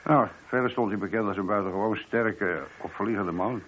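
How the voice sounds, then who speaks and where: Police sergeant, middle-aged, husky, talkative, deferential, radio play, muffled lo-fi sound. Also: male voice, on the low side, normal speed, a bit bright. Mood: chatty, reporting. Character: dutiful, chatty older police sergeant, deferential but opinionated. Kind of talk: radio drama acting. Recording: dull, lo-fi old radio recording.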